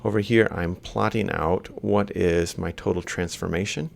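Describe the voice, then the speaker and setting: A low, heavily gravelly man talks through worked examples like a lecturer. His delivery is informal and halting, moving step by step. A faint rumbling noise sits behind the voice. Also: fairly even intonation. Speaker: university robotics lecturer. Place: screen-recorded lecture voice-over.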